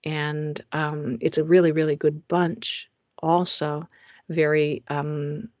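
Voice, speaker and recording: A woman's voice: low voice, little pitch movement, slow pace, a little mellow, no echo, muffled recording. A middle-aged woman, a romance author, presenting her publishing plans over slides in a chatty way, with frequent fillers and restarts, recorded in a home recording booth.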